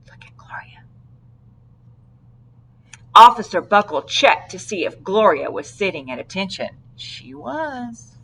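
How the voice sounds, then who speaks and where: A woman narrating a children's storybook aloud with deliberate, dramatic phrasing. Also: medium pitch, sing-song, creaky, fairly bright tone, slightly rough, low-quality recording. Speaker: storybook reader.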